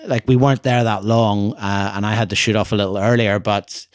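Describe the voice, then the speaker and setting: A man's voice: fairly low pitch, steady tone, a little fast, clean tone, no echo, clean studio sound. A man co-hosting a casual podcast chat, talking in a rambling, hesitant way with lots of fillers and restarts. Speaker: co-host, photographer.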